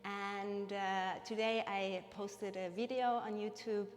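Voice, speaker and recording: The calm woman at the front of a big echoing yoga studio, Swiss German accent from Bern, cueing each pose and breath for a live class. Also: medium pitch, slow pace. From Switzerland. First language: Bernese Swiss German. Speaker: yoga instructor. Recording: long reverberant hall-like echo.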